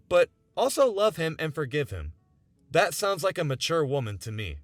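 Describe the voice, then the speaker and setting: A man's voice: a little high, a bit bright, no room sound. A man in his twenties narrating a scripted video essay in an earnest, emphatic way, often posing rhetorical questions. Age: 20s. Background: background music.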